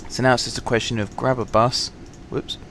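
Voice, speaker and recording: A man with a sore throat, slightly husky, casually commenting on a game through a headset, rambling and apologetic with many ums. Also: mid-range voice, little pitch movement, brisk, fairly bright tone, a little hiss or hum. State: sore throat, fighting coughs. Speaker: gaming channel host. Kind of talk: casual let's-play commentary.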